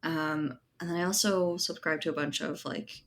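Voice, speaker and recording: Woman w/ a low voice, casual podcast guest, thinks out loud, bit of background noise. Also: steady tone, normal speed. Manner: conversational, thinking aloud, often tags points with "right?". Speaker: guest, writer and editor. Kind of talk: casual podcast interview chat.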